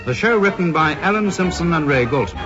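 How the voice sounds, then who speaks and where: A man's voice: fairly high pitch, lively intonation, fairly quick. Formal British radio announcer, man, over music. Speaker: closing announcer. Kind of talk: formal scripted radio credits, 1950s. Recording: muffled 1950s radio broadcast. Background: loud theme music.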